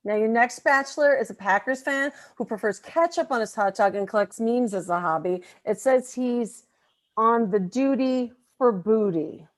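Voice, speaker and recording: A woman's voice: fairly high pitch, normal speed, close to an everyday voice. A woman playing an affectionate, teasing mother in a comedy table read. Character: affectionate, teasing working-class mom, loving family matriarch.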